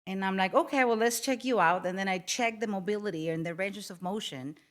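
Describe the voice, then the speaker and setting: A woman's voice: mid-range voice, fairly quick. A woman, a guest who owns a stretching studio, answering interview questions in a plain, matter-of-fact way and explaining with examples.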